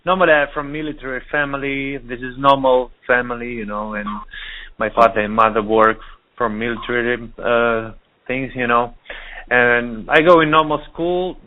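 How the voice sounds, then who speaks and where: A man with a Russian accent speaks in halting, non-native English over a thin, tinny phone line. He often stops to search for words, at an ordinary mid pitch. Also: steady tone. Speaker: DJ and producer.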